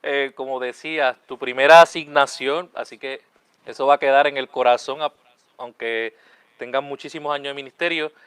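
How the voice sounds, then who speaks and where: A man's voice: medium pitch, steady tone, normal speed, fairly bright tone, clean tone, dry recording. A man hosting a religious online show as a friar, often tagging phrases with a questioning check. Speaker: host, Capuchin friar.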